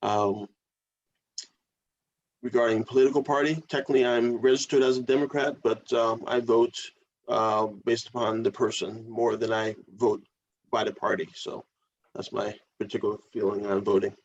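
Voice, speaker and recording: A man's voice: lowish voice, steady tone, moderate pace. Middle-aged man guest, easygoing casual talk, lo-fi recording. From Connecticut. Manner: easygoing, self-deprecating, fillers. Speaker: podcast guest, parent. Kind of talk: casual interview answers.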